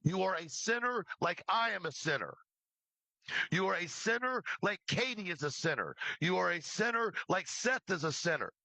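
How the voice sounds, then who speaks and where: A man's voice: high voice, gravelly, pushed and bright, dry recording, poor audio. A man who creates true crime livestreams, delivering an impassioned, scolding rant with free swearing and emphatic repeated phrases. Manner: swears freely. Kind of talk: impassioned rant addressed to an absent person.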